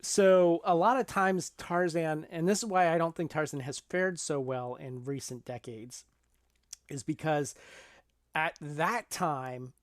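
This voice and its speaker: A man's voice: on the high side, measured pace, no echo, studio quality. A man co-hosting a podcast, explaining his points casually and often checking that the listener follows. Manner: explanatory, checks in with "right?" and "you know?". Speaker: podcast co-host.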